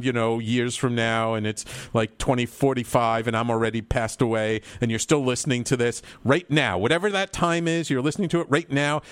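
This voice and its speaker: A man's voice: medium pitch, no echo. Earnest rambling man hosting a talk show. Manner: rambling, earnest, repeats words. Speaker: host. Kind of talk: casual talk show chat, livestream.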